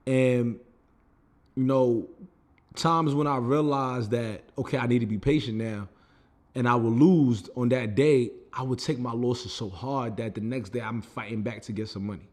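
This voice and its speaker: A man's voice: mid-range voice, normal speed, a little mellow. Casual young man telling his story. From United States. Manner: loose, conversational, full of fillers like "you know what I mean". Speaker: vlogger.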